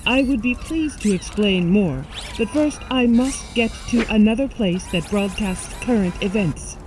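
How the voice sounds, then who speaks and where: A woman playing a calm alien machine lifeform, speaking formally and precisely in a sci-fi audio drama. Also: medium pitch, measured pace, over music, natural voice, low-quality recording. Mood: calm, grave.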